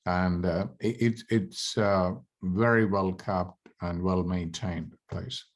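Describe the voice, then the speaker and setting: Low-voiced man presenting with many pauses. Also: fairly even intonation. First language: Tamil. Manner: halting, many "uh" fillers and restarts. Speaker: study abroad program presenter. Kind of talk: informational presentation to prospective students.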